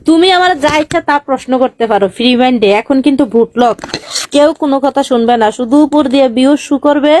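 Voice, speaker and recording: A woman's voice: high voice, fast talker, darkish tone, slight background noise. A young woman chatting casually and insistently on a livestreamed video call.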